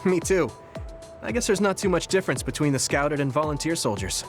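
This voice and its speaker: A man's voice: fairly high pitch, expressive, fairly quick, soft background music, natural voice. An actor voicing an earnest, kind young male soldier in an anime game, sincere and a little hesitant. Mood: friendly, sincere. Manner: sincere, hesitant, easily flustered.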